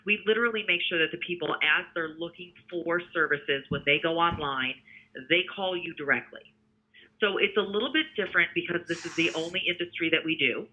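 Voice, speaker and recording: A man giving a conversational sales pitch over the telephone as a sales representative, explaining things step by step. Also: brisk. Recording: tinny narrowband phone line.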